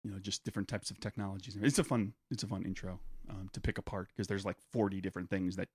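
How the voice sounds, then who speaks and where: A man's voice: sits low, steady tone, fast talker, breathy edge, no echo. A man co-hosting a casual podcast, rambling through his points with lots of fillers and banter. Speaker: podcast co-host.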